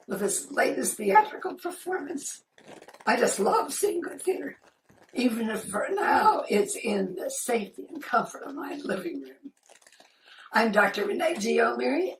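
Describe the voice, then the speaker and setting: A woman giving a scripted thank-you and appeal to viewers as a theater spokesperson, recorded in a living room. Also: on the high side. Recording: roomy, distant mic, faint noise.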